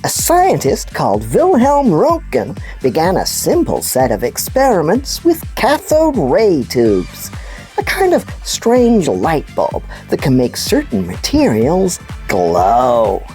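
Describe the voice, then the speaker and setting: Very animated, unhurried woman playing a puppet news presenter. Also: low-pitched, faint music behind, heavily acted. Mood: intrigued storytelling. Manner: animated, storytelling, emphatic. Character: enthusiastic puppet news presenter explaining science to children. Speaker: kids' show host. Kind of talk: scripted children's educational presenting.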